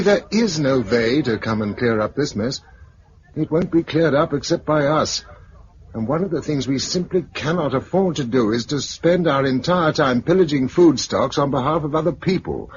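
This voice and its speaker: Actor as a level-headed British survivor, muffled vintage radio. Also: male voice, medium pitch, lightly acted. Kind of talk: 1950s radio drama acting.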